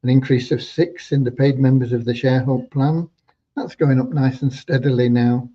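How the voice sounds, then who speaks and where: Mellow guy, bit hoarse, rambly stop-start livestream update, kinda muffled lo-fi sound. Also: mid-range voice, fairly even intonation. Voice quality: slightly hoarse. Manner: rambling, halting, with hesitant repeats. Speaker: host giving business updates to members. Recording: dull, lo-fi online audio.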